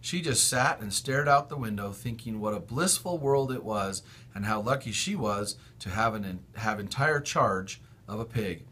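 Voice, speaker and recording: A man, a school principal, reading a children's book aloud in an expressive storytelling style. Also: fairly low pitch, moderate pace, breathy edge.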